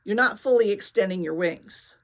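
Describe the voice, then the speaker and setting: A woman's voice: mid-range voice, close to an everyday voice. A woman voicing a serious, athletic teenage boy in a storybook, speaking evenly and bluntly. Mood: instructing. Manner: slow, even, unruffled delivery.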